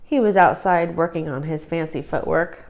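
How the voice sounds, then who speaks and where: A woman's voice: low-pitched, moderate pace, gravelly. Woman reading a children's story aloud. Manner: expressive read-aloud storytelling. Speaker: storybook reader. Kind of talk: scripted children's story narration.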